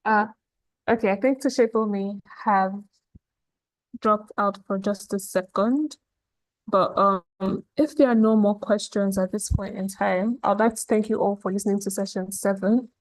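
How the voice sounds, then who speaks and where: A woman moderating an online summit, introducing the next speaker in a measured, formal way. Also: mid-range voice, steady tone, no room sound, poor audio. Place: online session.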